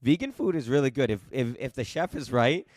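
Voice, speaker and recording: Host, late 20s male, eager casual talker with many restarts; mid pitch, dry recording. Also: animated, fairly quick, darkish tone, no echo. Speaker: podcast host. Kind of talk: casual interview chat. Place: East Coast home, remote video call.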